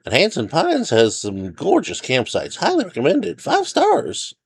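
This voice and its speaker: A man's voice: a little high, huge pitch swings, measured pace, creaky, breathy edge, pitched above the performer's own voice, heavily acted. A man voicing a wary, weary forest ranger in a story, hesitant and eager to steer people elsewhere. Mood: chipper, hopeful. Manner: hesitant, muttering, overly chipper when steering away. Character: weary, wary male forest ranger, hopeful then resigned.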